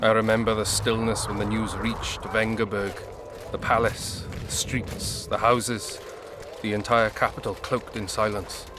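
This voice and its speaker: An actor playing a proud, resolute young prince in a video game, with a formal, measured, haughty manner. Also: male voice, lowish voice, level tone, background noise, lightly acted. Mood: grave. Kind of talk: dramatic video game acting.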